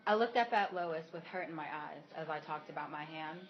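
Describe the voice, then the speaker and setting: A woman's voice: low voice, fairly quick. A woman narrating a radio play in the first person, on a muffled recording with music behind.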